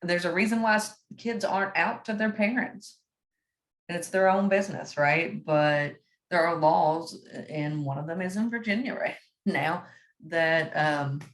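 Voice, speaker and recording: A woman with a deep, low voice leads a class discussion forum over a video call. She talks conversationally and keeps checking in with her listeners. The room around her echoes noticeably. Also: a little slow. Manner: conversational, often adds "right?" to check in. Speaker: discussion moderator.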